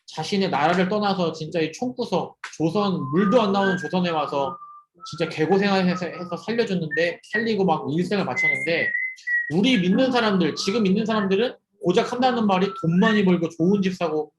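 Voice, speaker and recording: A man's voice: high-pitched, fairly quick, reverberant, background noise. A young man leading an interactive youth sermon over a video call, asking his students questions as he goes. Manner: lively, asks students questions and jokes with them. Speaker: youth ministry preacher.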